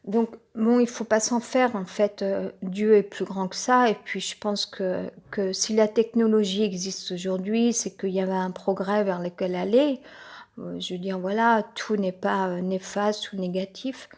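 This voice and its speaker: A woman's voice: mid-range voice, fairly even intonation, darkish tone. A woman with a head cold talks on her own, her voice a little congested. She rambles, stops often with fillers and restarts her sentences. The tone is personal and unscripted. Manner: halting, many fillers and restarts. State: has a cold.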